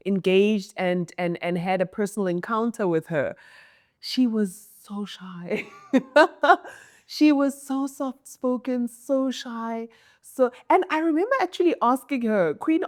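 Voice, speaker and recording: A South African woman, a mentor and entrepreneur, answering an interview question in a low, commanding voice, emphatic and often repeating her words. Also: darkish tone.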